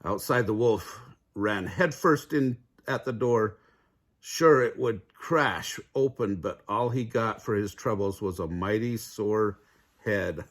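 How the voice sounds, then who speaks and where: A man narrating a children's fairy tale aloud in an expressive storytelling style. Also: lowish voice. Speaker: storybook reader.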